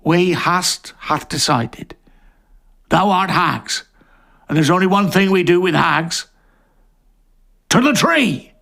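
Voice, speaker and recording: Casting: menacing old-world village chief played by a male reader, slow, declamatory and gravelly, for audio fiction. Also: high-pitched, animated, sharp tone, full character voice. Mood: stern, condemning.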